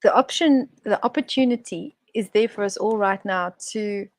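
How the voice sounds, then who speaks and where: A woman's voice: mid-range voice, normal speed, no room sound. A woman guest on an online talk show, speaking in a thoughtful, expansive way with frequent restarts. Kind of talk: reflective online conversation. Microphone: video call.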